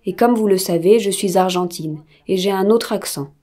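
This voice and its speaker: A woman interviewer asks her questions in a mellow, warm voice at an ordinary pitch. Her delivery is measured and even, and she is recorded close to the microphone. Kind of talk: French voice-over of an interview.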